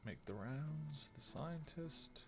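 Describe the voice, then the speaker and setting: Low-key man with heavy vocal fry. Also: lively intonation, slow, over music. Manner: low-key muttering, reading card text aloud. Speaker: game streamer. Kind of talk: casual let's play commentary, thinking aloud.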